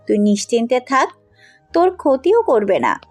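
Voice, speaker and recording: Woman playing a headstrong, high-voiced young woman. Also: big pitch swings, normal speed, darkish tone. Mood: insistent. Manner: blunt, insistent. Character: headstrong, compassionate young woman, outspoken businesswoman daughter. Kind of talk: Bengali audio story acting. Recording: music under the voice.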